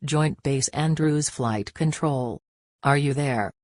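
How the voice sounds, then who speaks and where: A man's voice: a little high, slow pace, dry recording. A man playing an urgent presidential pilot, making a formal, clipped radio call in a scripted drama.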